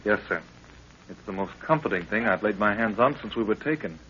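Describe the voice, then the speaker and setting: An actor playing an earnest, anxious young novice adventurer, polite and deferential, in a 1930s radio drama. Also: male voice, fairly low pitch, lively intonation, brisk, very noisy, lightly acted. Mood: dutiful. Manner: polite, respectful, worried. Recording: muffled 1930s radio recording.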